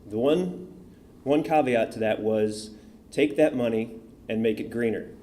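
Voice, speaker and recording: Mellow-voiced male event host. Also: mid-range voice, measured pace. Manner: upbeat, addresses crowd directly. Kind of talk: announcements to audience. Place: event hall.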